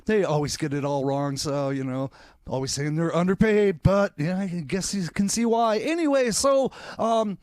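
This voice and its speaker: An actor playing a bumbling, distracted talk show host in a radio comedy, stammering and hesitant. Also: male voice, sits high, expressive, moderate pace, a little mellow, no echo, studio quality. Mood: flustered, chatty. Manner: hesitant, stammering, fumbles names.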